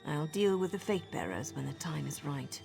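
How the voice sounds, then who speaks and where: A woman voicing a blunt, scornful dark elf assassin in a game. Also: low-pitched, very animated, normal speed, vocal fry, long reverb tail, faint music behind, exaggerated voice. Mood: wry.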